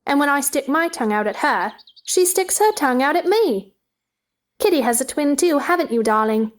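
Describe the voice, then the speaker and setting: A woman's voice: high voice, lively intonation, normal speed, pitched above the performer's own voice. A woman voicing a chatty, curious little girl with an eager, inquisitive manner in a storybook play reading. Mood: chatty, playful.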